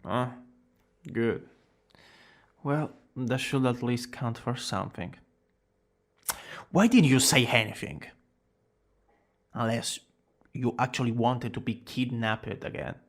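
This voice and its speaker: Man playing a young male villain student, theatrical, smitten, creaky voice, slow drawn-out delivery, ASMR romance roleplay. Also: medium pitch. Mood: embarrassed, sheepish. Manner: dramatic, drawn-out boasting that slips into breathy whispers and flustered exclamations. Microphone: close studio-style microphone.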